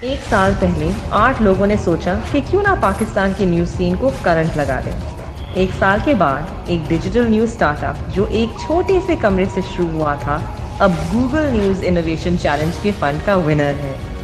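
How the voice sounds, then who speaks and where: A woman reading an upbeat promotional voice-over with a punchy, energetic delivery. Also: lowish voice, very fast, rough recording. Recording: voice-over over loud music.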